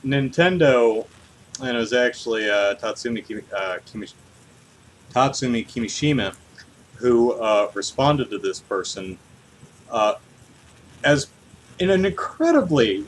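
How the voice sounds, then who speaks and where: A man co-hosting a casual livestreamed podcast about video game news, talking in an animated, jokey way. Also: mid-range voice, unhurried, long pauses, slight background noise, rough recording. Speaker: podcast co-host. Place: hot room at home, on a livestream.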